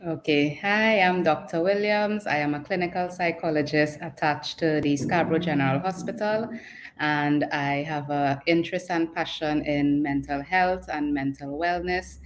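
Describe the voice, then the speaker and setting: A woman's voice: deep voice, moderate pace, slight background noise. A woman speaking as a psychologist panelist, explaining things.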